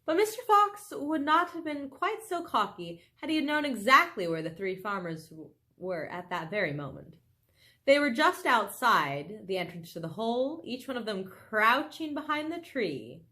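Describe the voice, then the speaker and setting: A woman reading a children's book aloud expressively, with animated, dramatic phrasing and casual asides. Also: on the high side, normal speed. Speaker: storybook reader.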